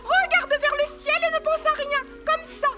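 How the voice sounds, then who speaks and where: A tiny cartoon canary with an extremely high voice. The bird is fussy, haughty and prim, and loud music plays behind it. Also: female voice, steady tone, moderate pace. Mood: prim, instructing. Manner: huffy, prim, know-it-all. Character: small touchy artistic canary, haughty and fussy. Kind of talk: French cartoon dub.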